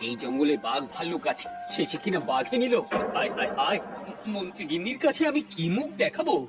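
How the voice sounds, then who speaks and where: Man playing a high, swooping, fretful cartoon king. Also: very animated, fast, loud music behind the voice. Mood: lamenting. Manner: plaintive, exclaiming, lamenting. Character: fretful, dramatic king searching for his minister. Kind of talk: Bengali cartoon voice acting.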